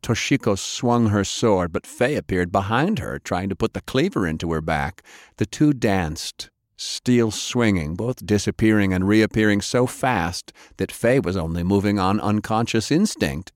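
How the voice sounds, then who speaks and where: A man with a low voice reads action fiction as an audiobook. His narration is dramatic and scripted, and the sound is dry, with hardly any room around it. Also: expressive, measured pace, dry recording.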